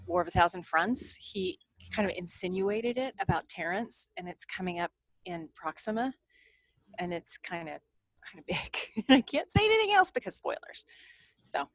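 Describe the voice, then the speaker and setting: A woman with a slightly low voice chats casually on a podcast, recorded with almost no room sound. She talks in a thoughtful, halting way, as an author among colleagues. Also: no echo, poor audio. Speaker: podcast crew member and author.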